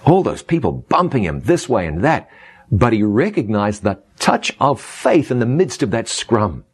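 A man's voice: medium pitch, very animated, normal speed, breathy edge, dry recording. A man hosting a radio Bible question show, speaking in an earnest, emphatic preaching manner.

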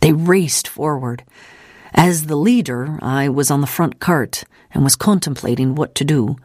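A steadfast, composed missionary woman, as an audiobook narrator reads her, recounts hardship gravely and deliberately. Her voice is mid-pitched, recorded close and dry. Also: a little mellow, dry recording, close to an everyday voice. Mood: grave, recollecting.

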